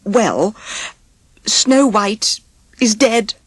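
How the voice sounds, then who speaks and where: A woman's voice: mid-range voice, expressive, drawn-out words, slightly rough, no echo, voice pushed up, full character voice. A woman voicing a sorrowful male dwarf in a storybook reading, plaintive and tender-hearted. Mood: grief-stricken.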